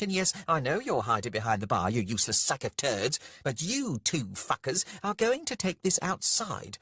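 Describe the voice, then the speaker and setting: A man's voice: a little high, fairly bright tone, slightly rough, dry recording, clean studio sound, voice pushed up, full character voice. A man voicing a furious, screeching, foul-mouthed tavern landlady in a story, ranting and scolding.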